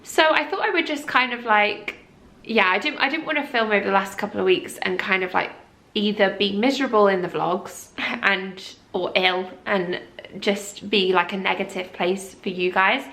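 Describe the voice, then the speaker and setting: A woman's voice: medium pitch, normal speed, pushed and bright. A young woman vlogging in a casual, rambling and apologetic way while congested with a cold. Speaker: lifestyle vlogger. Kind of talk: casual chat to camera.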